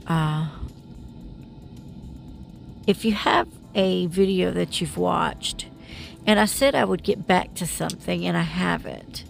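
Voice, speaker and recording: Gravelly, slow-talking woman with a stuffy nose. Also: lowish voice. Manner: rambling, self-deprecating, laughs often. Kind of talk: casual voiceover narration of beading.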